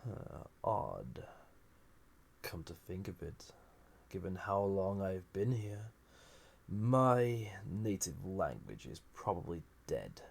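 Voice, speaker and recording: Man acting an ancient gravelly villain, very deep. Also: very animated, slow pace, exaggerated voice. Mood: bemused, wry. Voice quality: gravelly, husky. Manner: musing, theatrical, drifting into breathy near-whispers. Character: ancient banished male villain, magical being, wry, flirty, menacing. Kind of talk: scripted audio roleplay, intimate monologue to listener.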